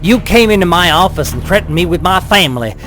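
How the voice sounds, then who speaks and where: A man's voice: sits high, lively intonation, quick pace, fairly bright tone. An actor playing a bitter, defiant, fatalistic blackmailed man in an audio drama, wry and embittered. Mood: bitter anger.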